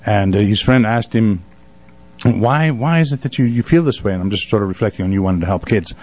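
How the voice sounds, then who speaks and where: Male radio host, middle-aged, from Africa. Casual interviewer, expressive and anecdotal. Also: fairly low pitch, fairly quick.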